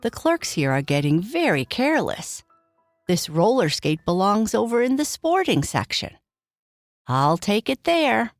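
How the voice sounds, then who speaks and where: A woman's voice: medium pitch, sing-song, measured pace, no echo, clean studio sound, soft background music, voice pushed up. A woman voicing a big male night watchman in a children's storybook, puzzled and disapproving as if talking to himself.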